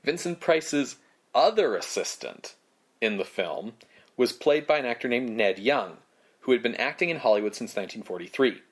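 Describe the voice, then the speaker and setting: A man co-hosting a podcast, narrating prepared film history in measured phrases with casual asides. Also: mid-range voice, animated. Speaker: podcast co-host.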